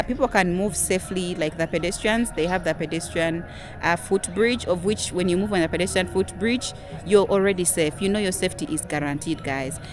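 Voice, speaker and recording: Chatty Ugandan woman vlogger, lower voice. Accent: Ugandan English. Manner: chatty, says "guys" constantly, drops to a low murmur on it. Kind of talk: casual talking-to-camera vlog.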